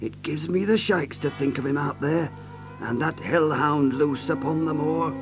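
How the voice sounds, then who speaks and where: An actor playing a fearful, deferential manservant in an audio drama, speaking with uneasy, respectful earnestness. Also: male voice, on the high side, measured pace, soft background music. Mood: fearful, foreboding.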